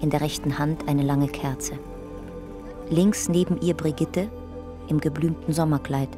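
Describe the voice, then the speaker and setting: Narrator, female, low and terse, music beneath. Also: steady tone, unhurried. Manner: terse, descriptive. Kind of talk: scripted radio drama narration.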